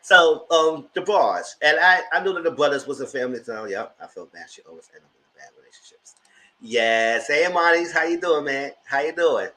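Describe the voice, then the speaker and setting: A man with a light, high-pitched voice, chatting casually as host of a live documentary review and greeting viewers. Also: lively intonation, moderate pace, pushed and bright. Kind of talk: casual live-stream commentary.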